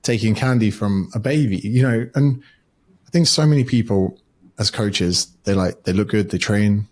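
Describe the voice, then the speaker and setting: Male podcast guest, low husky voice, blunt and profane. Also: moderate pace, darkish tone. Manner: blunt and swears freely. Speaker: guest, educator and author. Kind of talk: casual podcast conversation.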